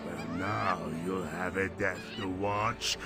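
An actor playing a sadistic middle-aged butcher and father in a horror game, gravelly, menacing and mock-tender. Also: deep voice, steady tone, slow. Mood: menacing, bitter. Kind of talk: horror video game acting. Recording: loud music over the voice. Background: loud music.

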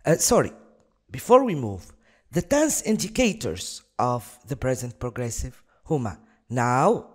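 A woman's voice: rumbling low, darkish tone, breathy edge. A Tunisian woman, a native Arabic speaker with a Tunisian accent, teaching a revision lesson patiently and repeating examples. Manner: explains patiently, repeats examples, bursts of fast speech. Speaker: English teacher. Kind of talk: exam revision lesson over slides.

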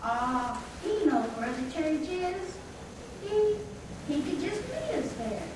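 A woman voicing an eager, whiny ten-year-old frontier boy in an amateur puppet show, with rustic drawn-out speech. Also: sits high, sing-song, heavily acted. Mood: whiny, protesting. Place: puppet show in a hall, far camera. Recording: echoey room, distant mic, noisy.

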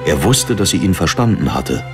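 A man's voice: low voice, sing-song, normal speed, music in the background. A man reading scripted dramatic narration for a fantasy audiobook.

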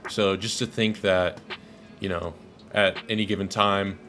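A young man from Dallas, Texas, a videographer, talking casually to camera in a monotone, rambling way with lots of ums. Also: low voice, unhurried, soft background music. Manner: laid-back, rambling with many ums. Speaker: videographer and channel host. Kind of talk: casual thank-you vlog to camera. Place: small pink-lit bedroom, indoors.

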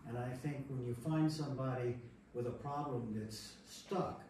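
A man in a group discussion explaining his view in a measured, matter-of-fact way. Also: medium pitch, darkish tone. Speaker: Bible study participant. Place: echoey room, group meeting. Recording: distant, very echoey room, noise.

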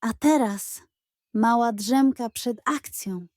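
A woman's voice: fairly high pitch, takes it slowly, a little mellow, no room sound, studio quality. A woman voicing a sly, hungry male pug who gleefully schemes to himself in a children's fairy tale. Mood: greedy, scheming.

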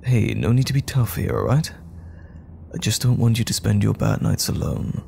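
A man acting as a tender, worried boyfriend in an intimate audio roleplay, speaking gently and soothingly to comfort a troubled partner at night. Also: on the low side, big pitch swings, normal speed, gravelly, a little hiss or hum, exaggerated voice. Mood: gentle concern. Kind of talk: intimate roleplay audio drama, soft bedside talk.

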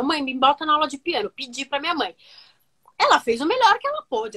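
A woman, telling stories on a video call from a room at home, animated and full of fillers. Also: high-pitched. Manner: animated, gestures a lot, many fillers. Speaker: music teacher guest. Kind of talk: casual storytelling interview.

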